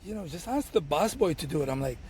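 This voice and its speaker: A man's voice: fairly high pitch, quick pace, a little hiss or hum. Arabic-speaking man in his thirties, storytelling. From Tunisia. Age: 30s. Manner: animated storytelling, acts out his own past lines, many restarts. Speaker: bartender guest. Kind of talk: casual podcast conversation.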